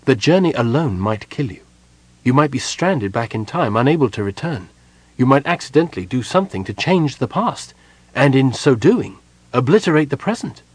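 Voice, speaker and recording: A man reading an audiobook, voicing a stern, wary sorceress in a crisp, cool, measured way. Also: mid-range voice, big pitch swings, no room sound, pitched above the performer's own voice.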